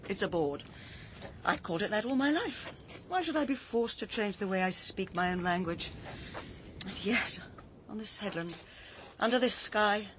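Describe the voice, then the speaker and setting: Actress for reflective ex-teacher, female, English accent, medium pitch, earnest and articulate, radio drama with noticeable ambience behind. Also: close to an everyday voice. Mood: indignant. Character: reflective former schoolteacher, principled woman living in coastal retreat. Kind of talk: naturalistic radio drama acting. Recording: clear outdoor ambience noise behind the voice.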